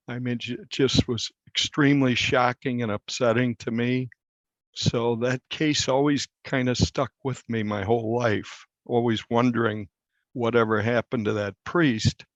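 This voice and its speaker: Man in his sixties, American accent, medium pitch, measured storytelling, interview guest, dry muffled recording. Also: no echo. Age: 60s. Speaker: newspaper reporter interviewed as guest.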